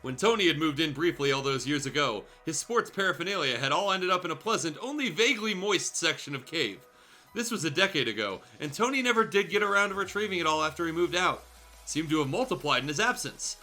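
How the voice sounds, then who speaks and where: A man with a bright, slightly high voice reads a comic story aloud in a very animated way. He slips between narration and irreverent, sweary asides like a casual show host. Music plays behind him. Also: fast talker. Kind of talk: comedic fan fiction reading with casual asides.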